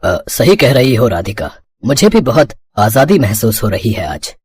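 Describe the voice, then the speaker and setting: Guy doing a selfish village big brother, husky, matter of fact, dry recording, cartoon. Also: mid-range voice, very animated, moderate pace, a little mellow, no echo. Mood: agreeable. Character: compliant, self-interested elder brother in a village.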